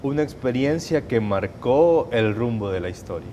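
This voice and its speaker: Salvadoran man giving measured testimony. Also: mid-range voice, animated, warm tone, lo-fi sound, a little hiss or hum. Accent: Salvadoran Spanish. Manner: measured, hesitant, with restarts and fillers. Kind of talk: personal testimony in an interview.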